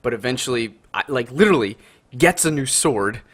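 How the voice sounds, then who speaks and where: A man's voice: medium pitch, expressive, a little fast. A North American man in casual analytical podcast discussion, explaining his ideas in a thoughtful way. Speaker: podcast co-host.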